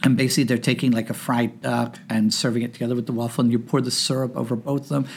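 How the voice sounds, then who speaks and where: Middle-aged male guest, slightly husky, wry self-deprecating storyteller. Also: mid-range voice, little pitch movement, fast talker. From Northern Ireland, raised in Hong Kong. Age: 50s. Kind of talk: casual podcast interview.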